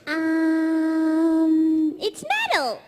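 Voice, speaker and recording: A woman's voice: very high-pitched, monotone, drawn-out words, darkish tone, heavily acted. A woman voicing a flustered, fluttery comic officer in a sci-fi parody at a live stage reading. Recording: echoey convention hall reverb.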